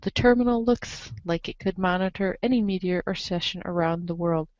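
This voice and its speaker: A woman's voice: lowish voice, moderate pace, a little mellow, no echo. Woman narrating, dry and muffled recording. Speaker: narrator in a group reading. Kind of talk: live script reading, scripted narration. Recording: dull lo-fi audio.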